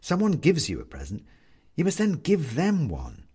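A man narrating a literary story as an audiobook reader, measured and wry, with theatrical, deliberate phrasing. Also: a little high, wildly swooping pitch, dark tone, slightly rough.

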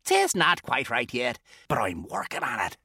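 A man's voice: mid-range voice, wildly swooping pitch, normal speed, vocal fry, sharp tone, husky, no echo, studio quality, heavily acted. A man voicing a folksy older home brewer with old-fashioned turns of speech. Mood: hearty.

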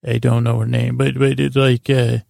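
A man hosting a sleep podcast, rambling in a halting, meandering way with lulling, soothing tones. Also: mid-range voice, level tone, a little mellow, dry recording, studio quality. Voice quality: creaky, gravelly. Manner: meandering, hesitant, halting, trailing off into tangents. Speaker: podcast host.

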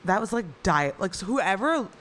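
A young woman co-hosting a casual podcast chat with faint noise behind her. Her pitch sits in the middle for a woman. She talks in an emphatic, gossipy way, peppering her sentences with "like". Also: big pitch swings, normal speed, fairly bright tone. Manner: gossipy, emphatic, heavy use of "like". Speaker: podcast co-host.

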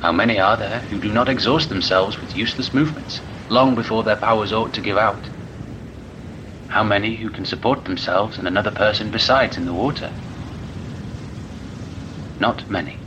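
A man playing a formal, old-fashioned lecturer in an audio drama monologue, speaking in a measured, rhetorical way with loud rumbling behind. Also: muffled recording, noisy background, natural voice. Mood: measured, didactic. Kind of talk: slow dramatic monologue reading.

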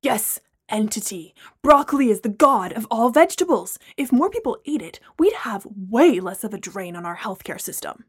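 A woman's voice: a little high, big pitch swings, normal speed, hardly any pauses, breathy edge. A pompous young man obsessed with health, as a woman narrator plays him in an audiobook. He is boastful and pushy. He delivers everything as a superior, insistent lecture. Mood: insistent, lecturing.

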